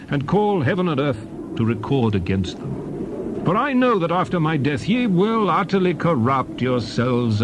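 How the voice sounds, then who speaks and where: An actor playing Moses as a stern, solemn old prophet, declaiming scripture in measured phrases. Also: male voice, a little high, background noise. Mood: stern warning. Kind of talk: dramatized scripture recitation.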